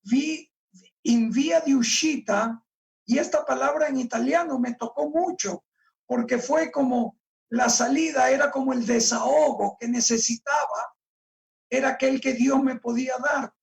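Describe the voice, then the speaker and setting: A male pastor delivers a sermon, reading verses slowly and pressing on each key word. His voice is recorded from a distance in a church, so it sounds a little removed. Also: very high voice, gravelly. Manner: slow, deliberate, emphatic, stresses key words. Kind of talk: sermon, reading and explaining scripture.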